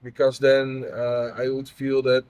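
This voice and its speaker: Man, 30s, from the Netherlands, halting, open, personal conversation. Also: mid-range voice, monotone, a little mellow, clear, resonant tone, no room sound. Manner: halting, many fillers and restarts. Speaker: patient advocate interviewer.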